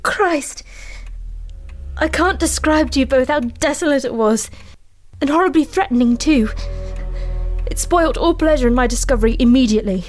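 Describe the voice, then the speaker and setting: An actress playing a desperate, haunted woman confessing a supernatural dread in an audio drama, distraught and halting. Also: sits high, soft background music, lightly acted. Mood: shaken, regretful.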